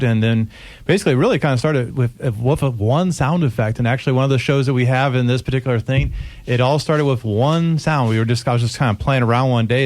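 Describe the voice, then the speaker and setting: A man's voice: mid-range voice, darkish tone, no echo. A man with an American accent explaining things as an interview guest in an easygoing, lightly playful way. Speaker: radio troupe performer, guest. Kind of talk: casual interview talk.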